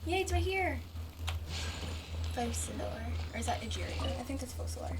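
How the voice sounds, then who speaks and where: High-voiced woman streamer, slightly distant mic. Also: animated, moderate pace, vocal fry, heavy background noise. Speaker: gaming streamer. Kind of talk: casual gameplay commentary. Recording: distant mic under game music.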